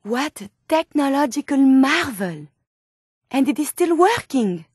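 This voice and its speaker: A woman voicing a gentle, curious French robot scientist in a video game, speaking politely and formally with a French accent. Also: sits high, very animated, measured pace, no room sound, studio quality. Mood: delighted, curious. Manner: polite, formal, precise phrasing. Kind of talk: video game character dialogue.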